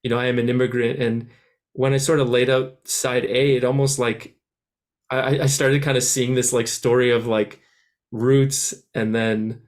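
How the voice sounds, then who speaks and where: A man's voice: mid-range voice, little pitch movement, moderate pace. Male musician guest from France, raised in Canada; casual, rambling, full of restarts. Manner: rambling, many restarts and "like" fillers. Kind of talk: casual interview answers.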